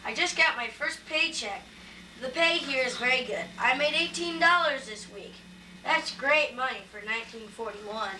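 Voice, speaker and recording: Earnest young office newcomer played by a woman, high voice, amateur play, echoey distant pickup. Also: animated, normal speed, pushed and bright, full character voice. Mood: proud, earnest. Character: earnest young woman proud of her first job. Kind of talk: amateur stage play acting. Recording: echoey room, distant mic, faint noise.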